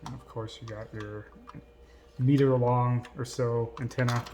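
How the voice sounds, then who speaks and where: A man giving casual, unscripted unboxing commentary in a halting, hesitant way. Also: medium pitch, fairly even intonation, dark tone, slight background noise. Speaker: vlogger doing an unboxing.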